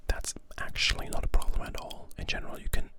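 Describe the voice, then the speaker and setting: A young adult from Germany, giving a whispered ASMR-style language lesson in an informal, rambling way with careful repetition of words. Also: quick pace. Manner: informal, rambling explanations with careful repeated pronunciation. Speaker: language teacher.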